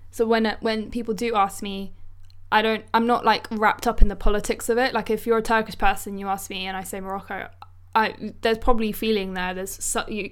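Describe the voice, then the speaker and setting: Woman, podcast co-host, smooth clear voice, informal and reflective conversational delivery. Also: medium pitch. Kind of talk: casual conversational discussion.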